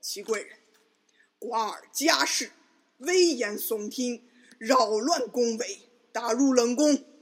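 A woman's voice: a little high, slow, breathy edge, heavily acted. A woman playing a stern, imperious male emperor in a Chinese palace radio drama, commanding and clipped. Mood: angry.

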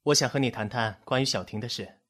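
男声饰演淡定毒舌的年轻男子. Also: male voice, mid-range voice, fast, a bit bright, a little hiss or hum, natural voice. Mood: composed. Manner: dry, teasing, composed. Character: wry young man, amateur therapist, calm and sly. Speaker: psychological rehab counselor.

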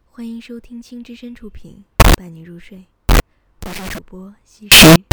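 A woman's voice: on the high side, normal speed, very noisy. A woman radio host reading a scripted bedtime story in a soothing narration style.

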